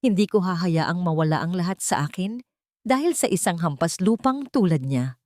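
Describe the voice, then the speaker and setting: A woman's voice: on the low side, lively intonation, moderate pace, darkish tone, no room sound, clean studio sound, lightly acted. A woman voicing a proud, bossy wealthy older matriarch who screams and rants in a dramatized audio story. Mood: defiant. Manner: screaming, tearful outbursts.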